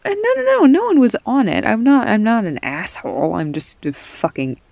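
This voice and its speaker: A woman's voice: fairly high pitch, very animated, normal speed, creaky, darkish tone, dry recording. A young woman playing an affectionate, self-deprecating girlfriend in an intimate roleplay audio, rambling candidly and casually. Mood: giddy, self-mocking. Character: affectionate, self-deprecating girlfriend comforting her partner, goofy storyteller.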